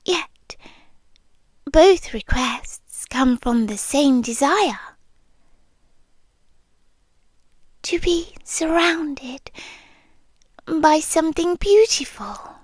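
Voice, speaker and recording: Woman acting a possessive yandere monster girl, british, high soft voice thats often whispering, real slow, asmr roleplay. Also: expressive, no room sound, exaggerated voice. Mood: brooding, possessive. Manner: slow, breathy, often whispering, intense and tender. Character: possessive, lovestruck female monster girlfriend, yandere. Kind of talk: ASMR roleplay, intimate dramatic monologue. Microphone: close ASMR microphone, dry.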